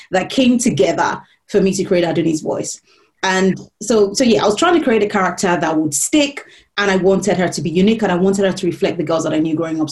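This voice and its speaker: A woman from Nigeria whose first language is Yoruba, an author explaining her work in a thoughtful, pausing way. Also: mid-range voice, a little mellow. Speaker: author being interviewed. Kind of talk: reflective conversation.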